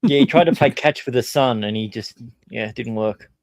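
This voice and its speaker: A man's voice: mid-range voice, fairly quick, creaky, no echo, clean studio sound. A man joining a casual group chat, easygoing and joking. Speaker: chat guest.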